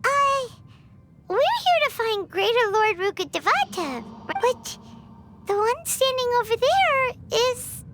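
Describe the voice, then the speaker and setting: A woman voicing a tiny, childlike, chirpy and excitable fairy companion in a video game. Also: takes it slowly, very noisy, full character voice. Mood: hesitant. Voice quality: squeaky, childlike. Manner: exclamatory, refers to self in third person. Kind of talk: video game story dialogue. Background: loud game music.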